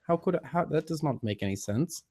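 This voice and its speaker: A man's voice: fairly high pitch, expressive, moderate pace, a little mellow, husky. A man hosting a livestream in a put-on English accent, rambling to viewers in a self-deprecating, mock-formal and theatrical manner. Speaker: livestream host. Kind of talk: rambling live chat to viewers.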